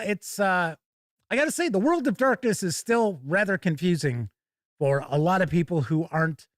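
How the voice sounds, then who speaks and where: A man's voice: a little high, no room sound, studio quality. A man hosting a tabletop gaming show in a casual live-stream style, candidly giving opinions and rambling through anecdotes.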